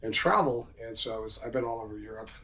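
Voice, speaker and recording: A middle-aged man talks casually as a guest on a podcast. He is quick and self-assured, with an average male pitch. Faint room noise sits behind his voice. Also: sharp tone. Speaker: podcast guest, commercial real estate entrepreneur. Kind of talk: casual interview talk.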